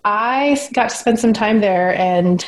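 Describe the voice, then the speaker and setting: A woman's voice: medium pitch, a little slow, low-quality recording. A woman from Alaska hosting a podcast, upbeat and bubbly, with a scripted intro and casual interview chat. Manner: upbeat and bubbly, laughs often. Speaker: podcast host.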